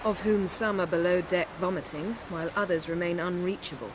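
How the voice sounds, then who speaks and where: An actress playing a shrewd, self-assured sorceress in a video game, articulate and drily sardonic. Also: female voice, lowish voice, fairly quick, noisy background, lightly acted. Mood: dry, brisk. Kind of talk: video game cutscene acting.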